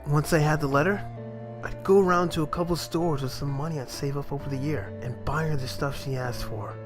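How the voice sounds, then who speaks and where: Man as cool young big brother, higher male voice, casual, reflective, game dub, music underneath. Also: steady tone, a little fast, natural voice. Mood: wistful. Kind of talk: fan dub of a visual novel game.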